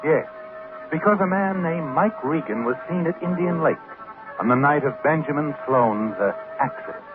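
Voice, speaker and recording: An actor playing a sinister, insinuating narrator of a 1940s radio thriller, on a thin old recording. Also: male voice, a little high, animated, moderate pace, narrow, tinny audio, music in the background, lightly acted. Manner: insinuating, sardonic, second-person address. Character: sinister, knowing omniscient male narrator.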